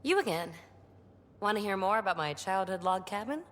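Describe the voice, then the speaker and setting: An actress playing a sly, secretive loner explorer in a video game, wry, teasing and evasive. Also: female voice, mid-range voice, animated, moderate pace, fairly bright tone, lightly acted. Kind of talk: video game dialogue acting. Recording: long reverberant hall sound.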